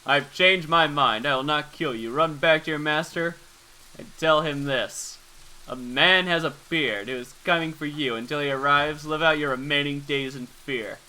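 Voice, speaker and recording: A man voicing a calm, courteous but steely army colonel in a game, measured and firm. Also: a little high, poor audio. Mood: ominous.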